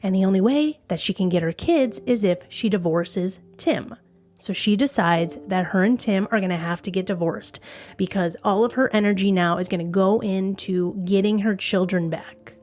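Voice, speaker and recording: A woman's voice: mid-range voice, moderate pace, darkish tone. A woman hosting a true crime podcast, telling the story in a conversational, informal way and recapping key points. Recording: background music under voice. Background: music.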